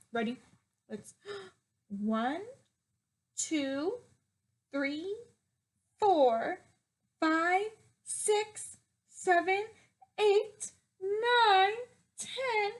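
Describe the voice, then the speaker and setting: A woman teacher reading a picture book to young children in an expressive, sing-song, emphatic way and putting questions to them. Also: sits high.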